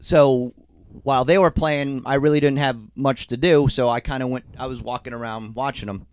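A man co-hosting a podcast in a studio, telling a story in a lively way and laughing as he goes, a little sick and congested. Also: mid-range voice, normal speed, clean tone, no room sound. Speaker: co-host. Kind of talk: casual storytelling chat. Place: recording studio. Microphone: studio mic.

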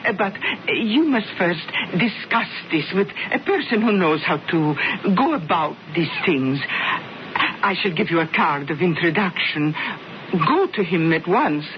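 A woman's voice: on the low side, lively intonation, unhurried. A woman playing a gracious, condescending princess with a genteel, humoring manner in a radio drama. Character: gracious, condescending Italian princess, polite and charming. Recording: dull, noisy vintage radio broadcast.

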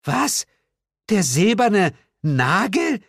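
A man voicing an excitable woman secretary in an audiobook, using a shrill, high-pitched, outraged delivery. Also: very slow, no room sound, studio quality, full character voice. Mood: indignant.

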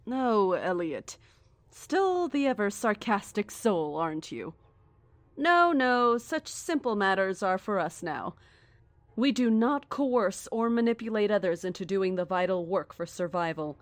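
A woman playing a serene, menacing cult leader in an audio drama, formal, measured and condescending. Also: mid-range voice, sing-song, no echo. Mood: mocking, calm.

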